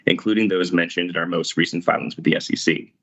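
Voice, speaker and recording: A man's voice: deep voice, steady tone, fast talker, a bit bright. A man reading a formal scripted introduction as the moderator of a corporate earnings call. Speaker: head of investor relations, call moderator. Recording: dull lo-fi webcast audio.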